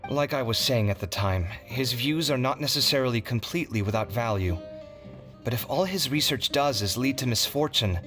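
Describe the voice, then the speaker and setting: A man voicing an expressive, generous, easily exasperated young scholar in an anime video game, articulate and animated. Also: medium pitch, moderate pace, natural voice. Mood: earnest. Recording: guitar music behind.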